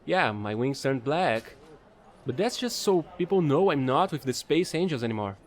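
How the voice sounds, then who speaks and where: A man's voice: medium pitch, sing-song, moderate pace, natural voice. A man playing an offbeat, chatty fallen angel space trader in an audio roleplay, rambling playfully to the listener in casual slang. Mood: casual storytelling.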